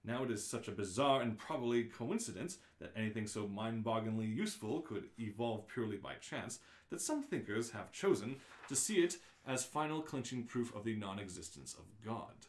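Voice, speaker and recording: A man's voice: lowish voice, expressive, moderate pace, natural voice. A man playing the dry, erudite narrator of a comic science-fiction guidebook, explaining things wryly in a radio play. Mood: wry, explanatory. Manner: explanatory, wry. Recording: roomy, echoing live-stream audio.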